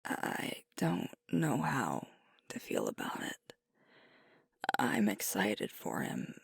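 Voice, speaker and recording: Woman acting as sweet tired werewolf girlfriend, slow breathy asmr, lots of creak, halting and confiding. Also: extremely low pitch, wildly swooping pitch, dry recording, studio quality, exaggerated voice. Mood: anxious, confiding. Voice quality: soft, breathy, whispery. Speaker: ASMR voice actor. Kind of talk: ASMR roleplay, intimate one-sided conversation.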